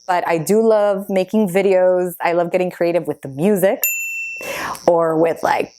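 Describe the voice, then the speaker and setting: A woman's voice: on the low side, fairly even intonation, unhurried, warm tone, a little hiss or hum. Chatty Spanish-native woman in her thirties. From Argentina. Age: 30s. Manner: chatty, self-interviewing, many fillers. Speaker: YouTuber. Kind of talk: casual get-to-know-me Q&A.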